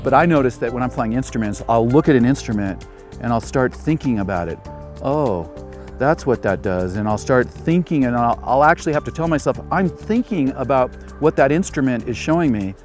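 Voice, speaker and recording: A man with a mid-pitched voice talks quickly as he explains things informally, like an expert sharing his own experience. Faint music plays behind him. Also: lively intonation, darkish tone. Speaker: aviation hypoxia expert.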